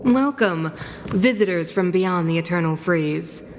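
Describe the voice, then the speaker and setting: An actress playing a composed, middle-aged female ruler in a fantasy game, speaking in a stately, formal, measured way. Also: fairly low pitch, expressive, slight background noise. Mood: regal, composed. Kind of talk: dramatic game cutscene acting. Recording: long hall reverb, faint background ambience.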